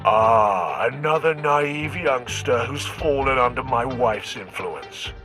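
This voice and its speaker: A man's voice: mid-range voice, animated, slow pace, music in the background. A man voicing a proud, sinister Sith lord in a video game, imperious and grandiose with a menacing manner. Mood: sinister, scornful.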